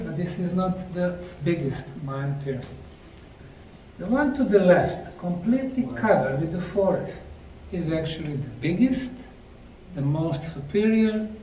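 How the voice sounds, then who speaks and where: A middle-aged man slowly narrating a documentary on location at ancient ruins, explaining the sites like a tour guide. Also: sits high, animated, dark tone, a little hiss or hum. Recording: roomy, distant mic with faint outdoor ambience.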